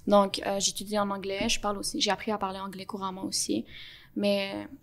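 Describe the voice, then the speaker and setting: A young woman with a Quebec French accent explains things earnestly, pausing often on "euh" as she gathers her thoughts. Her pitch sits mid-range for a woman and barely rises or falls, which gives her an even, level delivery. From Montreal, Canada. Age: young adult. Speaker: podcast guest. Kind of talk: interview answers.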